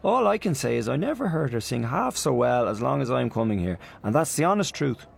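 A man doing a gushing, excitable young man. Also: mid-range voice, a little fast, creaky, husky, pitched above the performer's own voice, exaggerated voice. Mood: earnest. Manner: breathless, repeating himself in eager praise.

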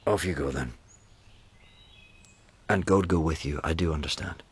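A man's voice: rumbling low, normal speed, slightly rough, full character voice. An actor playing a remorseful, self-mocking man who pleads with his wife, swinging from wry jokes to anguish in a radio play. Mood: resigned.

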